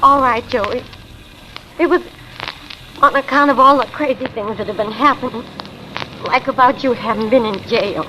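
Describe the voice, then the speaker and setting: An actress playing a fretful, simple young American wife in a 1950s radio drama, speaking breathlessly and colloquially. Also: female voice, fairly high pitch, normal speed, long pauses, pushed and bright, rough recording. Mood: pleading, flustered. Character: anxious, simple, devoted young wife of an ex-con. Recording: hissy vintage radio recording.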